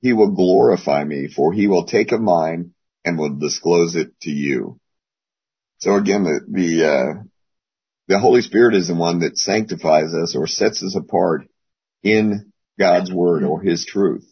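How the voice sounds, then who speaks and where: Hoarse man, Bible class teacher, very low husky voice, rambling delivery, dull lo-fi call audio. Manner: rambling, with many pauses. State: hoarse, losing his voice.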